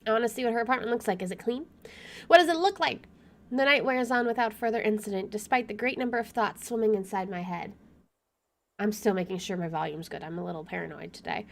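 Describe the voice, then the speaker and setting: A young woman streaming a game, chatting casually and reading story text aloud in an expressive way. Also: mid-range voice, fast talker, creaky.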